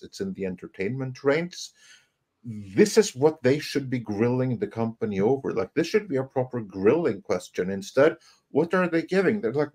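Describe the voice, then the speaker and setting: A man giving emphatic, critical commentary on a live panel, often halting and repeating words as he goes. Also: medium pitch, husky.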